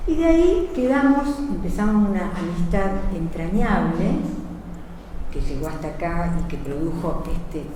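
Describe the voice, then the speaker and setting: A woman's voice: on the low side, dark tone, slight background noise. A woman poet with an Argentine Spanish accent, telling stories at a book presentation in an expansive, self-correcting way. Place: event hall. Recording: echoey hall from far off.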